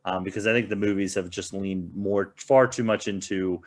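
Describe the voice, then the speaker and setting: A man's voice: low voice, steady tone, moderate pace. A man giving casual opinions as a podcast panelist, in a rambling, hedging way. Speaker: podcast panelist reviewing films.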